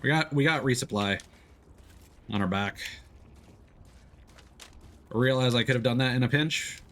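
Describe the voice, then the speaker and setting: A man's voice: mid-range voice, moderate pace, a bit bright. A man giving casual, playful gameplay commentary, with loose swearing and silly exclamations. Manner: playful, profane exclamations and sound words. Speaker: game streamer. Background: video game sound effects.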